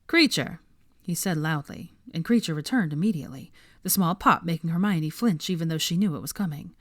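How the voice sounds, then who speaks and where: A woman's voice: low voice, fairly quick, no room sound, clean studio sound. A woman narrating fiction in a scripted, audiobook-style reading.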